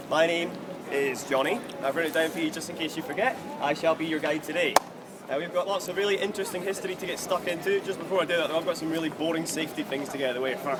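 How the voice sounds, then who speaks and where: A young man with a soft Scottish accent, leading a walking tour outdoors in a chatty, joking way. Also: fairly high pitch, fast. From Scotland. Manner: jokey, chatty, self-deprecating. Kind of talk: outdoor tour guide patter. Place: outdoors on a city street. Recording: street noise behind.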